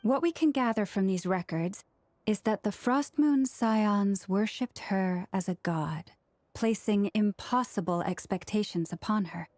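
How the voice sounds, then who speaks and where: A woman's voice: medium pitch, unhurried, a little mellow, dry recording, natural voice. A woman playing a composed, earnest, scholarly priestess in a video game, speaking formally and precisely. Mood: earnest, measured. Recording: music behind the voice. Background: background music.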